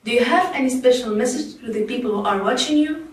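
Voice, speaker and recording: A woman's voice: fairly high pitch, quick pace, natural voice. A woman playing a talk show host, introducing guests and asking questions in a formal, announcing way, recorded far off in an echoey room. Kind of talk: scripted talk show hosting.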